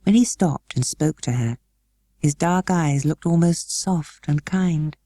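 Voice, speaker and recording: Mellow, low-pitched woman narrator. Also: no room sound, clean studio sound. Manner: measured, expressive storytelling. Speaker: audiobook narrator. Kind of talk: scripted literary narration.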